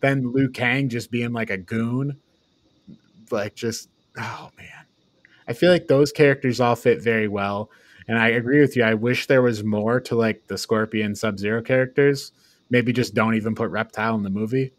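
A man's voice: mid-range voice, steady tone, moderate pace, dry recording. A man talking informally as a panelist in a casual film discussion. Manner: informal, prone to chuckling and swearing. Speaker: podcast panelist reviewing films.

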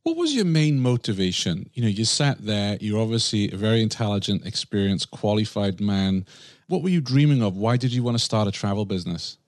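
Low-voiced male podcast interviewer. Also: sing-song, brisk, no echo, clean studio sound. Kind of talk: conversational interview.

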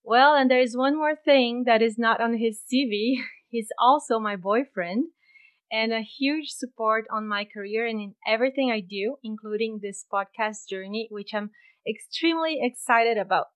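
A woman hosting a podcast interview in her home office, cheerful and quick to laugh. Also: fairly high pitch. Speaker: podcast host.

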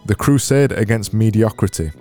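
Solo podcast host, man with a Barnsley accent, deep voice, deadpan mockery. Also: moderate pace, soft background music. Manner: sarcastic, deadpan self-mocking. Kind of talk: solo comedic podcast monologue.

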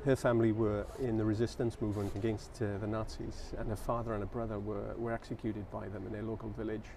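Low gravelly Welsh man. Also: flat delivery, far from the mic, background noise. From South Wales. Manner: measured, explains with frequent restarts. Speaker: guest. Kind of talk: casual interview conversation.

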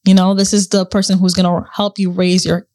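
A woman co-hosting a casual podcast chat, relaxed and thinking out loud with lots of fillers. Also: mid-range voice, fairly quick, no room sound. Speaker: podcast co-host.

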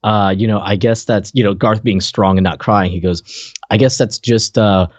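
A man's voice: lowish voice, steady tone, moderate pace, no room sound. A man co-hosting a podcast, chatting casually and retelling favorite moments in a loose, conversational way, often repeating himself as he explains. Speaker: podcast co-host.

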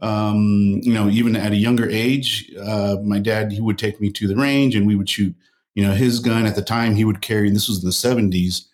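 A man from El Paso, Texas, a guest on a podcast, telling stories in an easygoing way with pauses. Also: lowish voice, fairly even intonation, a little mellow. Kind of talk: casual interview.

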